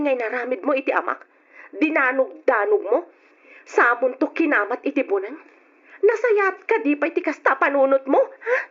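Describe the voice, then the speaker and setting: Long-suffering young wife acted by a woman, Ilocano accent, high voice, emotional and reproachful, old radio drama on a dull recording. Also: fairly bright tone, breathy edge, full character voice. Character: long-suffering adult daughter and wife of a drunkard.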